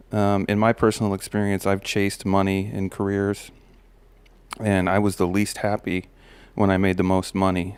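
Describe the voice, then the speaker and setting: A man, a parent, sharing life advice in a relaxed personal monologue, with a slight gravel in his voice and frequent pauses and restarts. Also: low-pitched, no echo. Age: adult parent of a daughter. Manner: relaxed, musing, many fillers and restarts. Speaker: podcast host.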